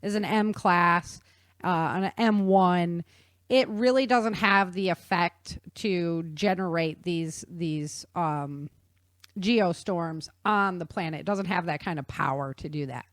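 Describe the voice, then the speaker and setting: A woman's voice: lowish voice, slow, no room sound, clean studio sound. A woman hosting a talk show, explaining science in a chatty, informal way and checking in with her listener.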